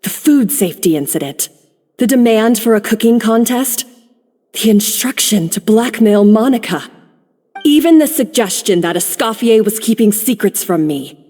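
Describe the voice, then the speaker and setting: A cool, accusing confronter. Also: female voice, a little high, animated, mellow. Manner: measured and accusatory. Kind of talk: video game voice acting. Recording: game audio with reverb and faint music.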